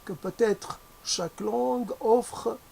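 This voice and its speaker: Slow, gravelly non-native French speaker. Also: medium pitch, mellow, a little hiss or hum. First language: not French. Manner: hesitant, many fillers and restarts, searching for words. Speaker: speaker on language and words. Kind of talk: reflective spoken musing.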